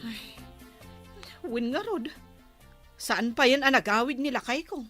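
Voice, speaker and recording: A woman's voice: fairly high pitch, lively intonation, unhurried, fairly bright tone, faint music behind. A woman playing a weary, complaining wife and mother with a Filipino accent, plaintive in a radio drama. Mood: exasperated.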